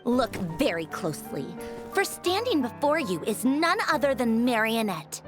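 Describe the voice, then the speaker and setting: A woman's voice: on the high side, very animated, moderate pace. A woman playing a refined young female game villain who speaks pompously, with condescending, menacing politeness. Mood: disdainful. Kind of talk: theatrical scripted game dialogue. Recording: music behind the voice.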